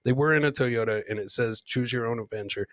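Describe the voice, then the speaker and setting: A man's voice: medium pitch, brisk, no room sound. A man hosting a comedy podcast, casually commenting on clips in a boisterous, slangy way with repeated catchphrases.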